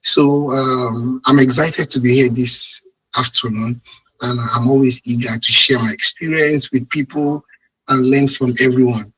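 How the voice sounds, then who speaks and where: A man from Nigeria with a Nigerian accent giving a webinar presentation over a video call, his voice hoarse and congested from a cold and cough. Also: mid-range voice, fairly even intonation, a little slow, sharp tone, low-quality recording. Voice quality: husky. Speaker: guest speaker, IT project manager. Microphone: online video call microphone.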